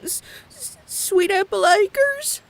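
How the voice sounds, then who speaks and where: A woman's voice: very high voice, takes it slowly, exaggerated voice. A woman voicing a frightened, polite little lost filly pony in a comic dub. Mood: scared, hesitant. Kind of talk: animated comic dub acting.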